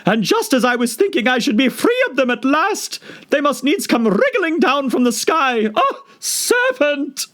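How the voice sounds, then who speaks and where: A man voicing a frantic, scolding mother pigeon in a shrill, indignant, sobbing storybook voice. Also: very animated, a little slow, few pauses, fairly bright tone, exaggerated voice. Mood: shrieking despair. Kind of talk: storybook character voice.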